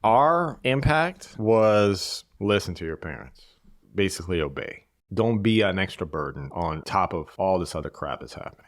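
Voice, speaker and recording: A man, a podcast guest speaking in a measured, reflective way and repeating his key phrases. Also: low voice, lively intonation, no echo, clean studio sound. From Bosnia. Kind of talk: reflective interview conversation.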